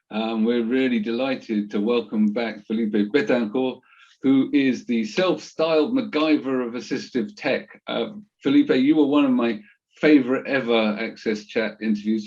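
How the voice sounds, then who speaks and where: A man's voice: mid-range voice, a little slow, a little mellow. A man hosting an interview over a video call, with a hesitant manner and many ums. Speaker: host. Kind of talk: interview host. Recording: dull lo-fi call audio.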